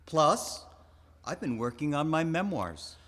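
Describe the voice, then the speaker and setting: A man playing a lively, debonair old showman. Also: a little high, takes it slowly, full character voice. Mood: breezy, boastful. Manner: theatrical, debonair, speaks song lyrics in rhythm. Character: elderly retired English star of stage, genial and suave. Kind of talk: live radio theater comedy, speak-singing.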